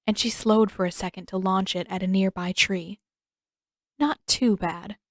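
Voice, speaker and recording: A woman's voice: medium pitch, dry recording, studio quality. A woman reading scripted fiction as an audiobook narrator.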